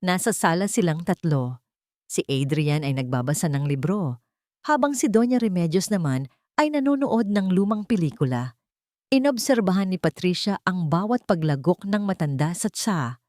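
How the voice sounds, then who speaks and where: Expressive low-voiced woman storyteller. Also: normal speed, dry recording, clean studio sound. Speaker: narrator of audio love stories. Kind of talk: dramatic scripted storytelling.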